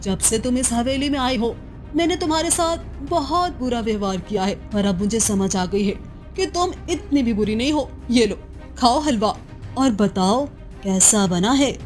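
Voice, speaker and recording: A woman's voice: on the high side, animated, a little slow, a little mellow, faint music behind. A woman playing a scheming, cruel, jealous chieftain's wife in a cartoon moral story, theatrical and slyly coaxing. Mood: falsely sweet.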